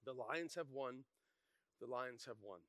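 Preacher doing a stunned safari guide, rough mock South African accent, dry close sound. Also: male voice, mid-range voice, unhurried, a bit bright, no echo, pitched below the performer's own voice, lightly acted. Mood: hushed. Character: awestruck male safari guide, exclaiming.

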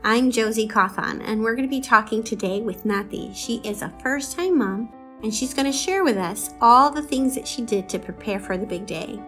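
A woman's voice: a little high, normal speed, fairly bright tone, soft background music. A woman hosting an interview show in a conversational style, with an encouraging, affirming manner. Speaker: podcast host interviewer. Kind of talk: warm-up intro and conversational interviewing.

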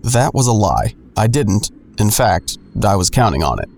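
A man's voice: fairly low pitch, very animated, normal speed, creaky, darkish tone, slight background noise. An actor playing a sardonic, nosy man who narrates his own misadventures in an audio drama with wry, self-deprecating, crude humor. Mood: wry, confiding. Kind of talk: first-person scripted narration.

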